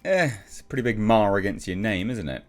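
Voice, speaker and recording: British male commentator, low-pitched, blunt and sarcastic, casual opinion delivery over music. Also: lively intonation, brisk. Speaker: YouTube commentator.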